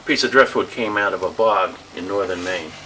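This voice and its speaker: A man's voice: low-pitched, brisk, bright, forceful tone, a little hiss or hum, poor audio. An older man in his sixties explaining things casually as a shop owner, in short matter-of-fact statements. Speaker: gallery shop owner, interviewee. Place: small gallery shop indoors. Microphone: handheld camera a few feet away.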